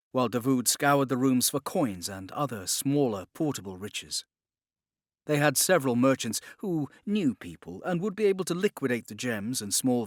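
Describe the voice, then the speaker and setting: A man reads dramatic audiobook narration at a medium pitch. His intonation is very varied, and the recording is close and dry. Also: no room sound.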